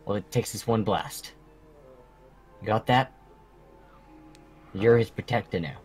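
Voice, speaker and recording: Man playing solemn, low-voiced dragon mentor. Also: a little slow, a little mellow, husky. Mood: solemn, stern. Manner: solemn, formal, instructive. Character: stern mentor dragon guardian, proud protector of young fighters. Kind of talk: improvised Minecraft fantasy roleplay. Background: music.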